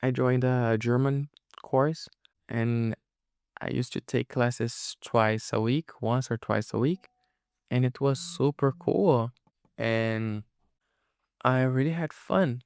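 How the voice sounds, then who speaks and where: A man's voice: mid-range voice, slow pace, darkish tone, clean tone, no room sound, clean studio sound. A man whose first language is Portuguese, hosting a casual solo podcast and explaining things informally to listeners, often checking that they follow. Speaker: podcast host and English teacher.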